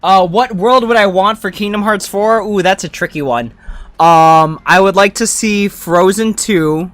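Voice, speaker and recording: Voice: medium pitch, measured pace, fairly bright tone. A game streamer, chatting casually and thinking aloud while playing. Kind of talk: livestream gameplay commentary, casual chat. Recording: game music behind. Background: video game music.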